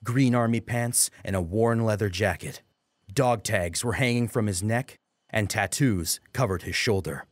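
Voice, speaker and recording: A man's voice: fairly low pitch, unhurried, no room sound, clean studio sound. A man narrating a scripted dramatic story as an audiobook.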